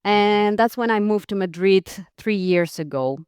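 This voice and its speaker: A woman's voice: mid-range voice, a little slow, a little mellow, clear, resonant tone, no echo, studio quality. A woman from Costa Rica, a podcast guest, telling her career story with frequent fillers, restarts and light laughs. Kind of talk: interview conversation.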